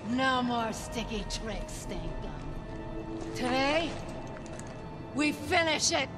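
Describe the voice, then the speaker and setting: An actor playing a hard-bitten male stronghold leader in a game, speaking in a wary, measured, menacing way. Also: extremely high pitch, lively intonation, loud music behind the voice, exaggerated voice.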